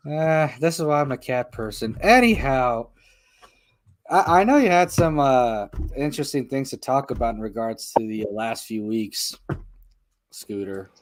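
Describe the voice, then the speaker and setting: A man's voice: mid-range voice, measured pace, no echo. A man hosting a casual radio talk show, telling stories with lots of fillers. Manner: animated storyteller with frequent fillers.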